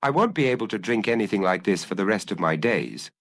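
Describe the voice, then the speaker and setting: A man's voice: lowish voice, lively intonation, fast talker, a bit bright, no echo, clean studio sound. A man voicing an anguished young killer who earnestly confesses and analyzes his compulsion in a dramatic audiobook reading. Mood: resigned.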